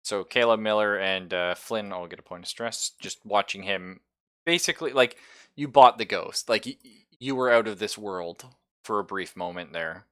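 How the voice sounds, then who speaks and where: A man's voice: lowish voice, moderate pace, a bit bright, no room sound, clean studio sound. A man casually narrating a tabletop roleplaying game as game master, slipping into characters' lines and swearing.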